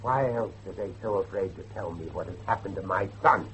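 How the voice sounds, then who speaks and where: An actor playing a grave, grieving father who believes in devilry, speaking emphatically with dramatic pauses in a horror drama. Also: low-pitched, fairly bright tone, a little hiss or hum, poor audio. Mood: anguished. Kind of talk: dramatic horror dialogue acting.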